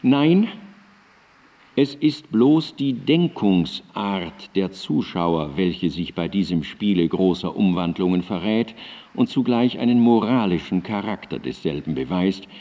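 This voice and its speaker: An actor playing an elderly Enlightenment philosopher in a radio drama, declaiming his writings in a solemn, deliberate and emphatic manner. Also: low-pitched, dark tone, heavily acted. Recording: long hall-like reverb on cassette.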